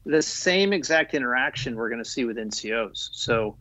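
A man's voice: mid-range voice, expressive, normal speed, pushed and bright, no room sound. A man talking as an Army guest on a podcast, eager and loose, with many fillers and restarts. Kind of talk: conversational podcast interview.

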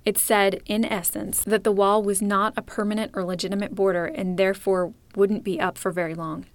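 Lady podcast host reading her script, measured and clear, dry close sound. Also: mid-range voice, level tone, no echo. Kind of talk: scripted documentary narration.

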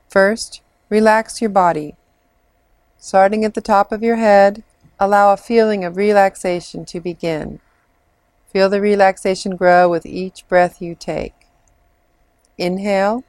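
A woman leading a guided imagery meditation in a soothing, measured manner with long pauses. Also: medium pitch, no echo. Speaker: meditation guide, clinical social worker.

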